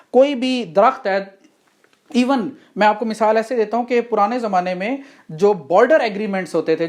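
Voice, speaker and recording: A woman's voice: mid-range voice, a little mellow. A woman with a Pakistani accent lecturing on law in an explanatory, didactic way, often posing questions and answering them.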